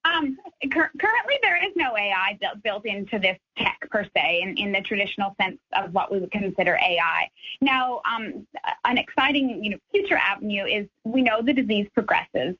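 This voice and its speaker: Woman academic on the radio, bright but husky, halting explanations, slightly dull phone-ish sound. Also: medium pitch, expressive. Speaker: academic researcher, interview guest.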